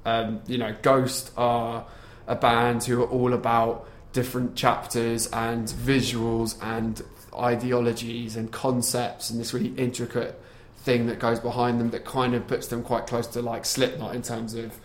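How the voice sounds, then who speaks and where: A man's voice: medium pitch, monotone, a little slow, few pauses. A man hosting a casual podcast chat. He swears freely and laughs a lot as he asks the others questions. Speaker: podcast host. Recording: roomy echo.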